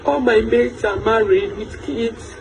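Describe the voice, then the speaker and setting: A woman's voice: on the high side, a little slow, creaky, background noise. A woman in her late thirties, pleading over and over in a social media video. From Nigeria. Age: 30s. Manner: repetitive, pleading.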